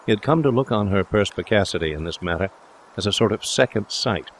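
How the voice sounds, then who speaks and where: A man's voice: on the low side, animated, fairly quick, a little hiss or hum. A man reading literary prose as a scripted audiobook narrator. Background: ambient sound effects.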